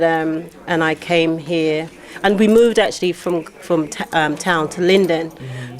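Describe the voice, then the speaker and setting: A middle-aged woman from Guyana, an author and poet, talking in a casual interview with many fillers and restarts. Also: lowish voice.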